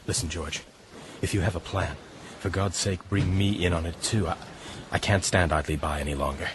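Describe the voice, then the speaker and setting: An actor playing a principled, weary gentleman officer at sea, earnest and reproachful, in a radio drama. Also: low voice, steady tone, moderate pace, background noise. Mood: hushed, urgent. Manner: earnest, well-spoken, reproachful. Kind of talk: broadcast radio drama acting.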